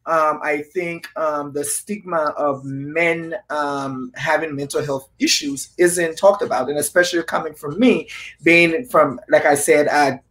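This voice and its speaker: A man from Liberia, hosting a casual interview and phrasing things haltingly. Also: fairly high pitch, fairly bright tone. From Liberia, West Africa. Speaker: interviewer, host.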